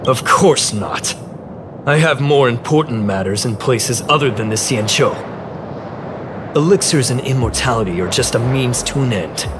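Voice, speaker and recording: An actor playing a smug, self-interested schemer in a video game, with a suave, dismissive way of talking. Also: male voice, medium pitch, animated, normal speed, noisy background, close to an everyday voice. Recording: game dialogue over background music.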